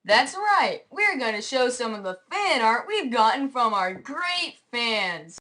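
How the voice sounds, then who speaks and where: A woman plays a perky cartoon show presenter with a high, very animated voice. The delivery is slow and gushing, relentlessly cheerful and oblivious, in a comedic web series. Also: full character voice.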